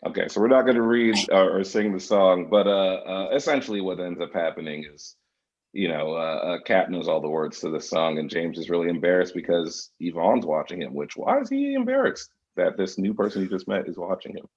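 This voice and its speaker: A man's voice: deep voice, animated, fairly quick, a little mellow, dull audio. A man reading scripted screen directions as narrator at a table read. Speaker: stage-direction reader.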